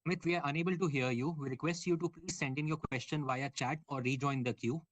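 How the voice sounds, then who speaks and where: A man's voice: on the high side, steady tone, fast, no room sound. Formal male conference call moderator, dry sound. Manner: polite, procedural.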